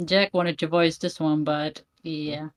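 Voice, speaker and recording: A woman's voice: deep voice, level tone, slow. Chatty, self-deprecating woman. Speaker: fan voice actor. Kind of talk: casual chat.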